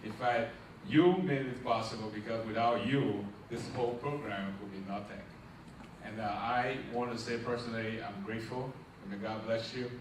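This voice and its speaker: A middle-aged man delivers a rousing, sermon-like speech, tossing questions at the audience and climbing to loud, emphatic peaks. His voice is caught from a distance in a big hall that rings with echo. A steady hiss of noise sits underneath. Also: medium pitch, moderate pace. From Liberia. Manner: preacherly, asks the crowd questions and rises to loud emphatic peaks. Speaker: nonprofit founder giving a launch speech. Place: large echoey event hall. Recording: echoey hall, distant mic, noisy.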